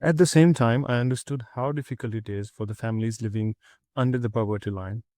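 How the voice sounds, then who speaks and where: A young man from Pakistan, a student, reading a prepared reflection in a steady, earnest way with small restarts. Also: mid-range voice, fast, no echo, clean studio sound.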